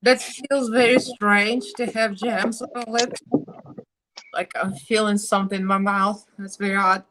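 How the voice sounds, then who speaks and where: A woman's voice: medium pitch, level tone, a little slow, fairly bright tone, rough recording. A woman with a Russian accent chatting casually and giggling as she does her makeup live for viewers.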